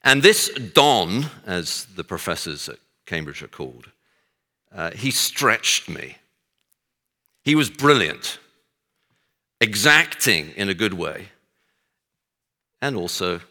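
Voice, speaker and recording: A man's voice: low voice, very animated, takes it slowly, pauses often, pushed and bright. A middle-aged man with a Texan accent, giving a speech to an audience as a guest speaker, with dry self-deprecating humor and frequent hesitations. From Texas. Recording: long hall reverb.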